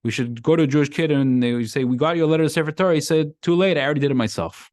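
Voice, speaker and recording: A man's voice: fairly high pitch, rapid-fire, dry recording, clean studio sound. A man from Brooklyn, a rabbi giving a casual weekly Torah class in an emphatic, repetitive style. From Brooklyn, USA. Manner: animated, repeats phrases, emphatic rising lines. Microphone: microphone in class.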